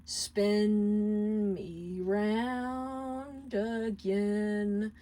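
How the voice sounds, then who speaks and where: Woman voicing a fragile, heartbroken imp, very slow. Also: mid-range voice, steady tone, dark tone. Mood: broken, sorrowful. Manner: broken, halting, sometimes singing. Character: wounded, heartbroken male imp, fragile and sorrowful.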